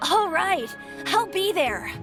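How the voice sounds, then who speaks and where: Naive curious young woman, slow, high bouncy voice, music behind. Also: big pitch swings, exaggerated voice. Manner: wondering, slow-spoken.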